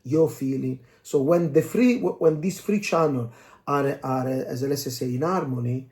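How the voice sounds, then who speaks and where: A man who speaks English as a second language, explaining as a dance coach in a casual, halting discussion, searching for words and often adding "you know". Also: medium pitch, darkish tone. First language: not English. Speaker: dance coach and podcast co-host.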